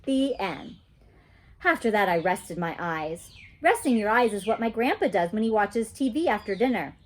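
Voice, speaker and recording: A woman reading a children's story aloud in an animated, childlike storytelling manner. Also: medium pitch, normal speed.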